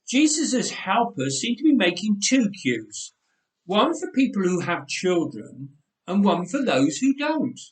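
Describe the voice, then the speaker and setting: A man's voice: high voice, very animated, unhurried, pitched above the performer's own voice. A weary, sighing mother voiced by a man telling a story with a slightly raised voice. The storybook reading sounds out in an echoing church. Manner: sighing, tired. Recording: roomy church echo.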